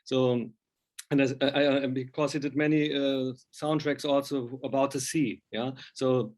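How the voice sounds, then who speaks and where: A man's voice: medium pitch, steady tone, unhurried, a little mellow. A man speaking non-native English, interviewing informally with rambling, enthusiastic fan talk. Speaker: interviewer, film and music journalist.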